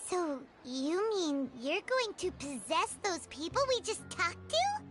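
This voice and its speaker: A woman voicing a tiny, excitable, childlike fairy companion in a video game, squeaky and expressive. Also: slow pace, over loud music, full character voice. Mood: puzzled. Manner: expressive, naive, chatty. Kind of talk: video game character acting.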